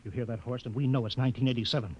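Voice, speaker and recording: An actor with an American accent playing a skeptical, authoritative spaceship captain in a 1950s radio drama. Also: male voice, mid-range voice, fairly even intonation, racing, lo-fi sound, lightly acted. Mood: baffled, insistent. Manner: authoritative, clipped orders. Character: commanding, skeptical male spaceship captain insisting on logic. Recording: noisy old 1950s radio recording.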